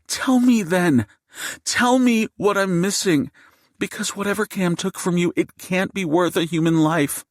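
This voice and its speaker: A man's voice: sits high, unhurried, no echo, clean studio sound, pitched above the performer's own voice. A man voicing a furious, defiant mother in an audiobook, seething and confrontational. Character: furious, fierce, defiant mother held at gunpoint. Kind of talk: audiobook character acting.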